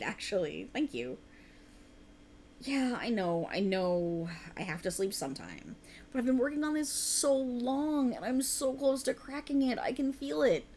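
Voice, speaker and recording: A woman's voice: medium pitch, slight background noise, lightly acted. A woman acting a sleep-deprived, stubborn writer girlfriend in a conversational ASMR roleplay, with a teasing, dramatic, bantering manner. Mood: touched, hesitant.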